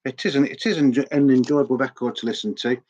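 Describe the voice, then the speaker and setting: A man's voice: mid-range voice, fast, a little mellow, muffled recording. A middle-aged man with a sore throat, chatting in a rambling, repetitive way as host of a record collecting vlog. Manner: rambling, chatty, repeats words often. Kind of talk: casual vlog chat.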